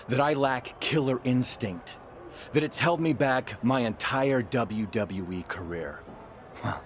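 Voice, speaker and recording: A bitter, overlooked wrestling teammate. His mid-range voice moves with lively swings and a pointed, accusing edge, as in a game drama, over faint noise. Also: mid-range voice. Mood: resolute. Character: resentful male wrestler, overlooked teammate turning hard. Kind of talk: video game cutscene acting.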